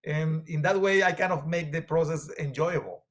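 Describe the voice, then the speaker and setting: A man with a Venezuelan Spanish accent talks as a guest in a conversation. His voice sits fairly high, and he speaks earnestly, pausing and restarting with fillers as he looks for words. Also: fairly bright tone. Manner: earnest, halting, with frequent fillers. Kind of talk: conversational interview.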